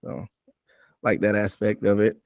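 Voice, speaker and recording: A man's voice: lowish voice, monotone, unhurried, a little mellow, no room sound, studio quality. A man hosting a sports podcast, talking casually through a recap and putting questions out for discussion. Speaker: podcast host.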